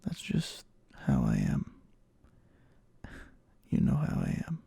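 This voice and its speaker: A man playing a clingy, obsessively devoted boyfriend in an intimate ASMR roleplay, speaking haltingly in a breathy half-whisper. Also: very deep voice, wildly swooping pitch, dark tone, no room sound, exaggerated voice. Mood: aching, needy. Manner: halting, slow, hesitant.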